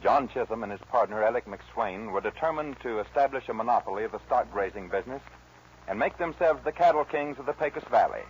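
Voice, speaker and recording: A man's voice: mid-range voice, animated, quick pace. A man with an American accent reading scripted narration for a 1940s radio western. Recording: dull, hissy old radio recording.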